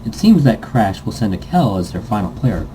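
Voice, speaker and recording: A man's voice: fairly low pitch, very animated, moderate pace, mellow, slight background noise, low-quality recording. A man reading visual novel narration aloud dramatically, stumbling now and then and rereading phrases. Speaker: reader, host of a let's read series.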